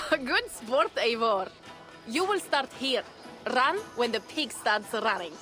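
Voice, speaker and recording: An actress playing a boisterous, playful and proud Viking warrior in a video game, with a hearty, teasing delivery. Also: female voice, a little high, lively intonation, slow, bright, forceful tone, faint music behind, exaggerated voice. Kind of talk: video game cutscene acting.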